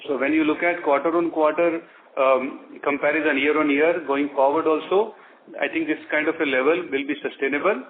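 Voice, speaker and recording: A man's voice: on the high side, steady tone, a little fast. A man with an Indian accent explaining business figures off the cuff as a finance executive on an earnings call. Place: conference call. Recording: thin phone line with noise.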